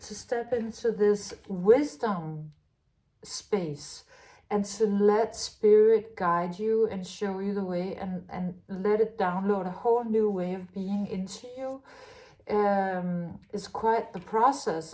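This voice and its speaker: A woman's voice: mid-range voice, steady tone, dark tone. Musing Danish woman reading tarot. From Denmark. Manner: halting, many restarts and fillers, musing. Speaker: tarot reader. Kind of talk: spontaneous spiritual tarot reading.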